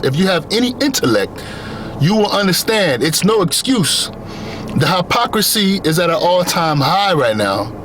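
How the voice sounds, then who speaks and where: Earnest young man with a lively voice. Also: high-pitched, a little hiss or hum. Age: young adult. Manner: earnest, repetitive emphatic phrasing. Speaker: vlogger. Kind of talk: motivational monologue.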